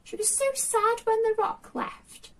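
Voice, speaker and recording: A woman's voice: very high voice, flat delivery, a little slow, recorded from a distance. A woman hosting a children's storytime, chatting directly to young listeners and asking them questions.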